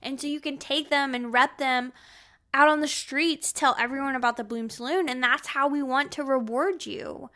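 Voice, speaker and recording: A woman co-hosting a podcast in casual book chat, with a gushing, excitable manner. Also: high-pitched, moderate pace. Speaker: podcast co-host.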